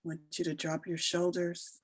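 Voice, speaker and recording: Low-voiced woman guiding a meditation. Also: monotone, dry recording. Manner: soothing, unhurried instruction with long pauses. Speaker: meditation guide. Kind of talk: slow guided meditation narration.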